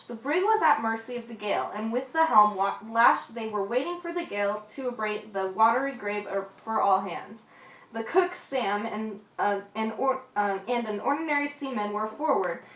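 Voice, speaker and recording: A woman's voice: fairly high pitch, a bit bright. A woman playing an old male sailor who spins yarns in an amateur radio play, stumbling over the reading. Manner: stumbles while reading narration. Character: old male sailor Forty Fathom, grumbling but obliging yarn-spinner. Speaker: storyteller. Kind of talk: amateur radio drama acting. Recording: roomy, distant mic.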